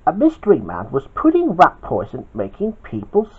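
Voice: medium pitch, wildly swooping pitch, moderate pace, slightly rough. An amateur storyteller narrating aloud with an emphatic, stop-start delivery. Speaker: storyteller, channel host. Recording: dull, lo-fi home recording.

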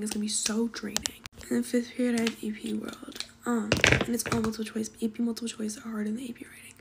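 A teenage girl chatting casually while doing her makeup, talking in a soft half-whisper and filling her sentences with "like", recorded on a phone at arm's length in her bedroom. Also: on the high side, creaky, very noisy. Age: teens. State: losing her voice from coughing. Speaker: vlogger. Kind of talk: casual get-ready-with-me chat. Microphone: phone camera at arm's length.

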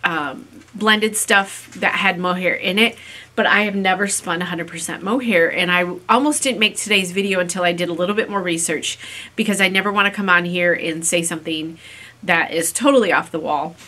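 Woman, 50s, raspy from a cold, bright voice, chatty, many ums, casual vlog to camera, small room at home. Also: fairly low pitch, moderate pace. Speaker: fiber-craft vlogger. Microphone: camera in front at desk distance.

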